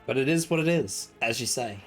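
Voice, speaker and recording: Hoarse male streamer losing his voice. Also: mid-range voice, expressive, a little fast, faint music behind. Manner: casual, swearing, chatty. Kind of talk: casual live game stream chat.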